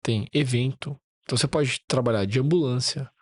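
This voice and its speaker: A man's voice: mid-range voice, a little fast, darkish tone. A man hosting a casual podcast, talking in a laid-back, slangy way with casual swearing.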